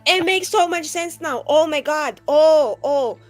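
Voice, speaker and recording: A woman playing a tabletop roleplaying game, speaking in a halting, rambling, improvised in-character style with casual asides. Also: high-pitched, faint music behind.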